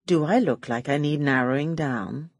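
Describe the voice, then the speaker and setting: A woman's voice: low voice, moderate pace, a little mellow, no echo. Woman playing a cocksure, flirtatious lady detective. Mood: teasing. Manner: teasing, cocksure. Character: glamorous, self-assured, flirtatious female private detective.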